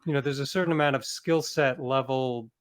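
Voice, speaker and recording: A man's voice: mid-range voice, a little fast, clear, resonant tone. A middle-aged man speaking as a guest author in a conversational interview, reflective and given to acting out bits of imagined dialogue.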